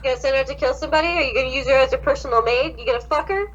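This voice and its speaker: A woman's voice: high-pitched, very fast, a bit bright, muffled recording, slight background noise. A young woman co-hosting a podcast, mixing dramatic reading with sweary, sarcastic casual commentary. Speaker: podcast co-host.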